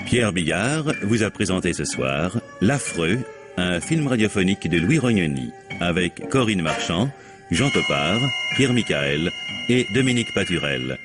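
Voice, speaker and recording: A man's voice: low-pitched, very animated, a little slow. A man reading scripted closing credits as a radio announcer. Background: music.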